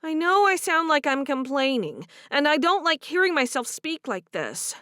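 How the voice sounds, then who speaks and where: A woman voicing a young woman who is upset and close to tears, talking in a soft, pleading way. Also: sits high, big pitch swings, moderate pace, dry recording, voice pushed up, full character voice.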